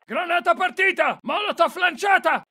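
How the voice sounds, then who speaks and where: An actor playing a fierce, urgent soldier in a video game, shouting terse warnings. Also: male voice, extremely high pitch, animated, moderate pace, recorded from a distance, full character voice. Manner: terse, forceful military shouting. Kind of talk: video game combat callouts.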